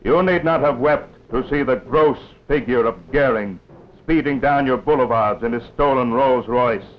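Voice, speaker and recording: A man's voice: medium pitch, normal speed, a little hiss or hum. Grave 1940s newsreel narrator, man. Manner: grave, oratorical. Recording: dull lo-fi 1940s film soundtrack with music.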